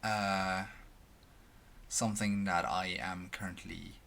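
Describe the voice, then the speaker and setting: Slow, bright-voiced Swedish man vlogging. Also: low-pitched, level tone, gravelly. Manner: halting, many restarts and fillers. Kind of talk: casual talk to camera. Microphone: headphones, desk mic at webcam.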